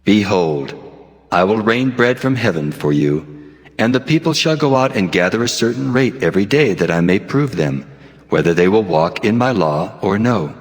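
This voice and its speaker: A man playing the Lord God in a dramatized scripture recording, solemn, measured and authoritative. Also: lowish voice, animated, lightly acted. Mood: solemn, commanding. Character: the Lord God, commanding, solemn male deity. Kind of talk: dramatized scripture audio acting. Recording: long cavernous reverb.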